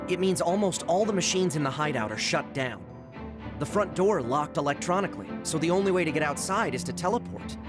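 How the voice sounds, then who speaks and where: A man's voice: fairly high pitch, expressive, a little fast, natural voice. An actor playing a practical young male tech expert in an anime game, matter-of-fact. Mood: focused, matter-of-fact. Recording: music clearly behind the voice.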